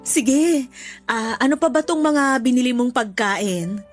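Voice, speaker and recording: High, animated, grateful Filipino mother. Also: unhurried. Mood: happy. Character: grateful, contented, selfless older mother who once was poor. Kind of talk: Filipino radio drama acting. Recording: music bed behind the voice.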